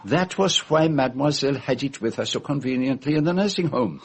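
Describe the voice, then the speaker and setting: An actor playing a fussy, shrewd foreign gentleman detective, speaking in precise, formal phrases in a radio drama. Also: medium pitch, lively intonation, fairly quick, slightly rough. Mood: grave. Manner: precise, theatrical, formal phrasing with French forms of address.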